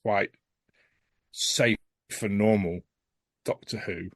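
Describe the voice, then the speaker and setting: A man's voice: lowish voice, steady tone, slow pace, no room sound, studio quality. A man giving a casual podcast review as a guest, speaking in a rambling, emphatic, frank way.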